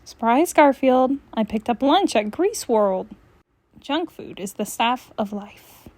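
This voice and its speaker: A woman reading a comic storybook aloud in an expressive, acted style. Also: on the high side, takes it slowly. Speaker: storybook reader.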